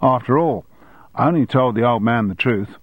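A man's voice: mid-range voice, moderate pace, husky, no room sound, phone-quality sound, pitched above the performer's own voice, close to an everyday voice. A man voicing a cocky, laconic teenage schoolboy with a broad Australian accent in an audiobook. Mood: breezy, cocky. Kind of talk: audiobook character dialogue.

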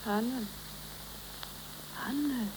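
Anxious young woman, slow emotional delivery, insistent, 1930s radio drama, faint crackle and hiss. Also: fairly high pitch. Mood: tender. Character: anxious, grieving young woman defending an injured man.

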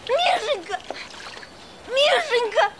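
A woman's voice: very high-pitched, animated, slow pace, full character voice. An actress playing a devoted, emotional woman who pleads fervently in a film drama. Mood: desperate calling. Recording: noisy film soundtrack.

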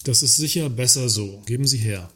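An actor playing a cautious, earnest works councillor in a satirical radio play, hesitant and formally polite, with a husky voice. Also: male voice, mid-range voice, a little fast, sharp tone, a little hiss or hum, lightly acted. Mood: uneasy.

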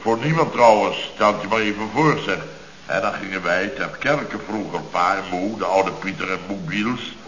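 An actor playing a blustering, self-pitying middle-aged building contractor in a Dutch radio comedy, talking in exasperated, halting bursts. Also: male voice, low voice. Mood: indignant. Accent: Dutch from the Netherlands. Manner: forceful, halting, exasperated storytelling in short bursts. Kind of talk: 1970s radio comedy acting. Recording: muffled old radio recording.

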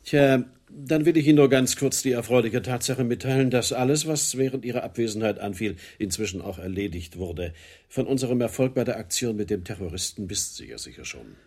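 An actor playing a smug, officious police chief in a radio play, formal and self-satisfied, with a husky voice. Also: male voice, mid-range voice, lively intonation, brisk, a little mellow. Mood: breezy, smug.

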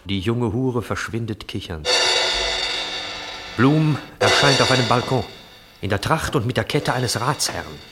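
Theatrical male narrator over loud music. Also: mid-range voice, expressive, measured pace, pauses often. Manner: theatrical, swinging from hushed to declaiming. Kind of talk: dramatic scripted narration of a radio play.

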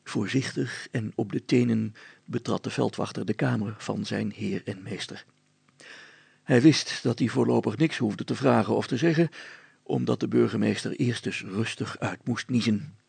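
A man narrating a scripted children's story as a storyteller, in a deliberate, old-fashioned way. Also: fairly low pitch, gravelly, a little mellow, breathy edge, no room sound.

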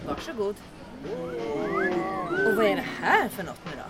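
An actress playing a brisk, friendly, slightly bossy small-town barmaid in a comic radio drama. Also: female voice, mid-range voice, wildly swooping pitch, loud music behind the voice.

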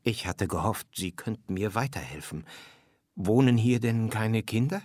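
A man's voice: lowish voice, lively intonation, moderate pace, a little mellow, no echo, clean studio sound. A man voicing a big talking hare who helps deliver Easter eggs, matter-of-fact and insistent, in a storybook reading. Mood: worried, explaining.

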